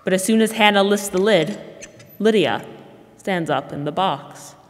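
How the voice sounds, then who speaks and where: A woman's voice: medium pitch, unhurried. A young woman reading scripted narration of stage directions for a drama. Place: stage of a school theater. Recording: reverberant hall, faint noise.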